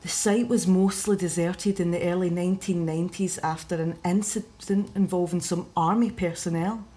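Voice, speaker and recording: A woman's voice: fairly low pitch, fairly even intonation, moderate pace, dark tone, natural voice. A woman with a Scottish accent acting in a radio drama as an anxious investigator. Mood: focused reading. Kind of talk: radio drama, reading research aloud.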